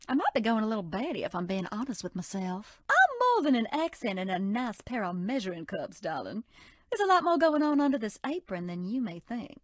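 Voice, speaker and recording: Sultry Southern belle baker. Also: female voice, a little high, no room sound, clean studio sound. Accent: American Southern. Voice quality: sultry drawl, coy and teasing. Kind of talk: video game character voice lines.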